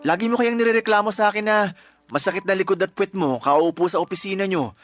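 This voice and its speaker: A defensive young boyfriend. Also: male voice, high voice, fast, fairly bright tone, soft background music. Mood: explaining. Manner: defensive, pleading. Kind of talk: radio drama acting.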